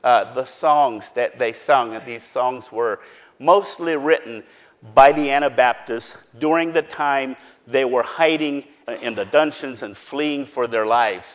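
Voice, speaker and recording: A man whose first language is Pennsylvania Dutch, giving an earnest, plain-spoken talk and explaining step by step. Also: mid-range voice. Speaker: speaker giving a presentation.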